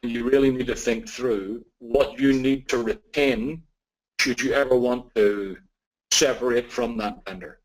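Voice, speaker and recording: A man with an Irish accent answering questions as a technology expert, speaking in a deliberate, measured way with emphatic repetition. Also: medium pitch, fairly even intonation, rough recording. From Ireland.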